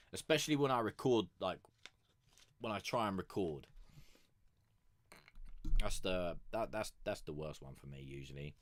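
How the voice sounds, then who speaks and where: A man's voice: low voice, a bit bright, no room sound. A man streaming music reviews, chatting casually and rambling with lots of fillers, restarts and the odd swear word. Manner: consciously keeps his voice raised above its natural depth. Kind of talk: casual chat.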